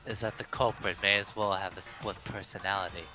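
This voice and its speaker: A man's voice: low-pitched, fairly even intonation, a little fast, natural voice. A man voicing a haughty, dismissive young rich student in an amateur dub of a visual novel game, with a condescending, commanding manner. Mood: cool, businesslike. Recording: loud music behind the voice.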